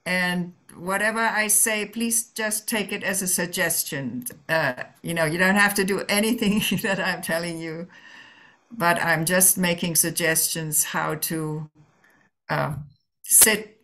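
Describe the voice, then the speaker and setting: A woman leading a guided meditation as a Zen teacher, speaking gently and permissively in halting phrases with long pauses. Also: on the low side.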